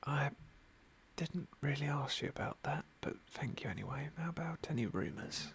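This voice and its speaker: A man giving gentle, musing ASMR roleplay commentary on a game in a soft, breathy whisper. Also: fairly high pitch, lively intonation, normal speed, slight background noise. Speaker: game playthrough host.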